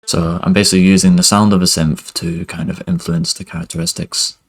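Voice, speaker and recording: A young man teaching music production over a screen recording in a relaxed, loose way, with lots of "kind of" and "basically", speaking into a headset mic in a home studio. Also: low voice, a little fast. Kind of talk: screen-recorded software tutorial. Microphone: headset with webcam inset.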